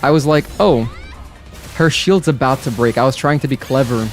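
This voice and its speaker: A man's voice: medium pitch, normal speed, soft background music. Chatty man streaming a game. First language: Spanish. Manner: friendly, chatty, many "like" fillers. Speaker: gaming livestreamer. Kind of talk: casual live-stream chat while playing.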